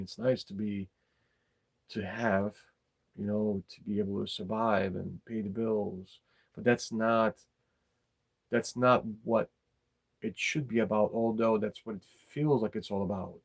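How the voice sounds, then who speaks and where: Low, gravelly man. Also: steady tone, darkish tone. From former Yugoslavia. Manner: halting, repeats words, blunt and sweary. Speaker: podcast host. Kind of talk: casual opinionated monologue.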